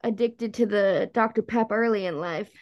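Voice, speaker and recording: A woman's voice: mid-range voice, normal speed, dull audio. A woman co-hosting a podcast, chatting casually about books in an informal, slangy way. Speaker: podcast co-host. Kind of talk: casual book chat.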